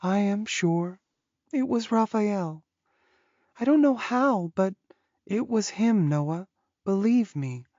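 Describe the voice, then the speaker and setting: A woman's voice: medium pitch, animated, slow, long pauses, dark tone, no echo, lo-fi sound. A woman playing an anxious, self-doubting young werewolf in a dramatized audiobook, earnest and pleading. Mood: earnest insistence.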